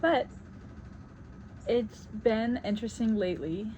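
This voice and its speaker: A woman's voice: a little high, unhurried, dark tone, a little hiss or hum. A woman in her thirties from South Carolina with a faint Southern US accent, chatting casually and reflectively while she paints, with many fillers. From South Carolina, United States. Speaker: vlogger. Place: home on Maui.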